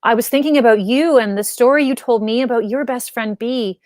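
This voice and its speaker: A woman's voice: fairly high pitch, brisk, a little mellow, no room sound, natural voice. Woman as an eager young granddaughter, dry sound. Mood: pleading. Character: earnest, eager young granddaughter in a children's story.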